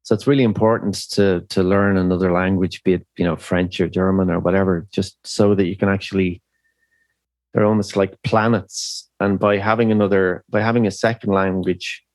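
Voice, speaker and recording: A middle-aged Irish man with a very deep voice talks slowly through his thoughts, stopping and restarting often with fillers. The sound is dry and close, with no room around it. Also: little pitch movement, a little mellow, dry recording. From Ireland. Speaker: musician guest. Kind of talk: reflective podcast interview.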